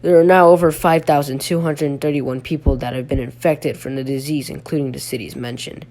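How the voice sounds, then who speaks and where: A man playing a sick, halting local radio host who reads breaking news in an amateur audio drama. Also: fairly high pitch, steady tone, darkish tone, natural voice. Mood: dutiful, concerned. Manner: halting, stumbling, breaking off to apologize. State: sick, coughing. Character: ailing male local radio news host, dutiful but fading. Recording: noisy home recording with hum.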